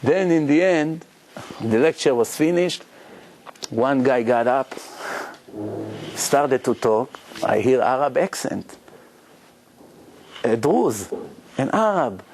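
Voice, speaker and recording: A man, a rabbi, telling stories in a lively lecture to his congregation, acting out the people he quotes. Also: mid-range voice, takes it slowly, pauses often, a little hiss or hum. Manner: animated, acts out quoted voices, frequent restarts.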